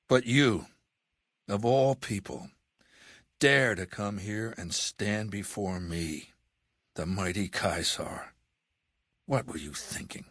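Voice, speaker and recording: A man playing a ruthless, commanding warlord dictator in a video game, menacing and condescending, speaking deliberately. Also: mid-range voice, a bit bright, dry recording, studio quality, natural voice. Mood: menacing disdain. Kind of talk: video game character voice acting.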